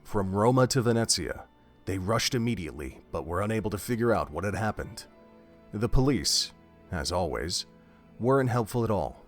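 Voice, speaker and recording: Deep-voiced male story narrator. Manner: measured, steady storytelling. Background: faint music.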